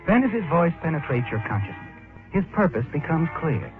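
An actor narrating a vintage radio mystery in a measured, ominous, dramatic way, addressing the listener as you. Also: male voice, fairly high pitch, very animated, phone-quality sound. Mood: grave suspense. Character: dramatic, ominous male narrator of a suspense story. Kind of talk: 1940s-50s radio suspense narration, second person. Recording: old radio recording, music underneath.

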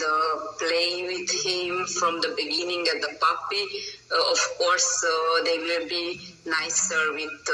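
Woman, dog breeder in an interview, very slow halting speech, many fillers, grammar. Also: on the low side, bright, forceful tone, far from the mic, rough recording. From Romania. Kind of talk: interview answers.

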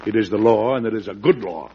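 Man acting a low-voiced, dignified president, vintage radio. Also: lo-fi sound, natural voice. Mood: measured, earnest. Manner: measured, earnest, formal. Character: principled, steady, dignified President of the United States. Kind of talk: classic radio drama acting. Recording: noisy, band-limited old radio recording.

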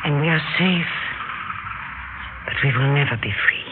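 Slow, bitter runaway German woman. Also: sits low. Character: weary, bitter German woman fleeing with her lover. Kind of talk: 1960s radio drama acting. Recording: muffled old radio, loud background noise.

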